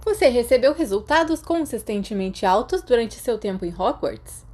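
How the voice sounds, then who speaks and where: A woman's voice: a little high, warm tone, pitched above the performer's own voice, natural voice. Casting: woman reader as middle-aged female professor of magic, stern yet kind, formal delivery, storybook. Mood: formal, approving. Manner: formal, measured praise.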